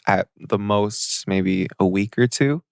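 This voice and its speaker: A young man, a podcast guest who talks in a halting, hesitant way full of restarts. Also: on the low side, gravelly, no room sound, clean studio sound. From Seattle, USA. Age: 20s. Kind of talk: casual podcast chat.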